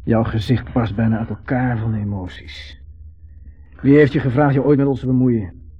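A man's voice: medium pitch, normal speed, husky, faint music behind, close to an everyday voice. An actor playing a bitter, reclusive man, cold and scornful, in a 1970s radio drama. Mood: angry, hostile. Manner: terse, scornful, theatrical. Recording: slightly dull old radio recording.

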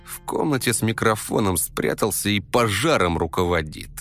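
Male storyteller, animated pitch, coarse street slang, comic first-person narration, music underneath. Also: normal speed.

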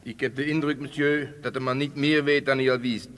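A man acting a French hitman in a radio drama, polite to his employers and cold-blooded. He reports in a formal, matter-of-fact way at a middle pitch. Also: little pitch movement, a little fast, fairly bright tone, close to an everyday voice.

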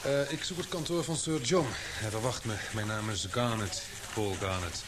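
A man's voice: mid-range voice, normal speed, noisy background, close to an everyday voice. An actor playing an earnest young male reporter in a radio play, polite and candid. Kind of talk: Dutch radio play acting.

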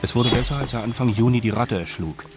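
A man's voice: mid-range voice, fast talker, over music. A man reading scripted narration for a radio crime drama.

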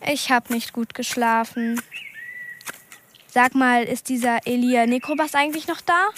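A woman's voice: fairly high pitch, fairly even intonation, unhurried, fairly bright tone, a little hiss or hum. An actress playing an earnest, curious but guarded thirteen-year-old girl in a radio drama.

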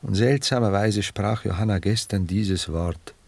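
A man acting in a 1960s German radio drama as a cultivated, coolly ironic gentleman who speaks with formal, measured, detached courtesy. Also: on the low side, creaky, no echo. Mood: brooding, ironic.

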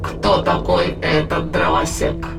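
A man playing a cold, calculating alien entity in an audio drama, speaking in a measured, clinical way over music. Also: a little high, level tone, gravelly, exaggerated voice. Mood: calm, matter-of-fact. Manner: measured, clinical, matter-of-fact. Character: alien immaterial entity in a dead woman's body, cold, calculating.

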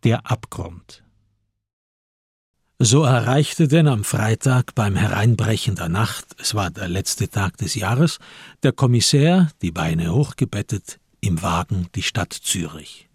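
Male radio-drama narrator, very husky and low, measured literary delivery with deliberate pauses. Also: lively intonation, a little mellow, dry recording, clean studio sound. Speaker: narrator of a radio crime play.

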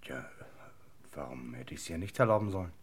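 An actor playing a dutiful, slightly puzzled police assistant in a German radio crime drama. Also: male voice, low voice, expressive, a little fast, husky.

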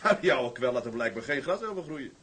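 An actor playing a hearty, bluff sea captain in a drama, familiar and brisk. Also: male voice, mid-range voice, animated, a bit bright, natural voice.

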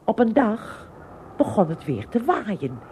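A woman narrating a scripted story in an expressive, measured storytelling manner. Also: mid-range voice, background noise.

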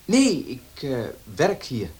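An actor playing a modest working student, polite and matter-of-fact, in a Dutch radio play. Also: male voice, fairly high pitch, wildly swooping pitch, takes it slowly, darkish tone, full character voice. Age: young adult.

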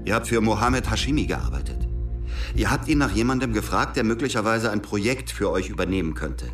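A weary, suspicious ex-agent with a very low male voice. He speaks with brooding restraint, as the narrator and lead of a radio drama. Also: big pitch swings, brisk, music in the background. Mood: accusing, agitated. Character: exhausted, suspicious former agent telling his story.

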